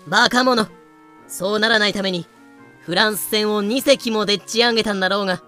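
A cocky pirate captain played by a man in a radio-style drama. He is haughty, flippant and greedy, with a bright, pressing voice over background music. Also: high voice, steady tone, fairly quick. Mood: smug.

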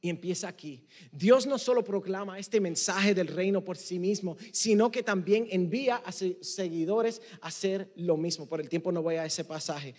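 High-voiced Puerto Rican pastor preaching. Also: male voice, moderate pace, few pauses. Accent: Puerto Rican Spanish. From Puerto Rico. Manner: preaching, switching between Spanish and English; emphatic, rising and dropping to near hush. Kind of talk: sermon. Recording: long reverb of a hall.

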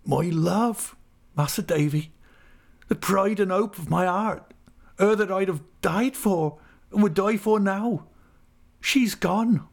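A man's voice: high voice, takes it slowly, vocal fry, pauses often, voice pushed up, heavily acted. A man voicing a distraught young working-class fisherman in a storybook, pleading tearfully in rustic dialect. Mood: heartbroken. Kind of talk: dramatised literary audiobook.

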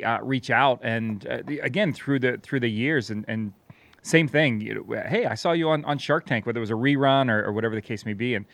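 A man's voice: mid-range voice, fairly bright tone, no room sound. An American man hosting a casual interview in an agreeable, conversational manner. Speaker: interview host.

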